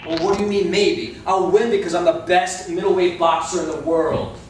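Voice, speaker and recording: A man's voice: sits high, fairly even intonation, normal speed, close to an everyday voice. A man playing a cocky but naive middleweight boxer in a staged reading, with a defensive, questioning manner. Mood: defiant. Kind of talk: staged reading of a boxing drama. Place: live staged reading in a roomy indoor space. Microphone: distant video camera. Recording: echoey, noisy room, distant camera microphone.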